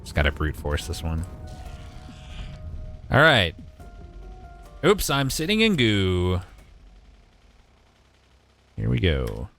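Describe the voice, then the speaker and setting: A man in his twenties with a deep voice gives casual, wry live gaming commentary and talks back to his chat. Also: wildly swooping pitch, slow pace, creaky, long pauses, a bit bright, faint music behind. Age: 20s. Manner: wry, joking, mild swearing, talks to chat. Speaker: game streamer.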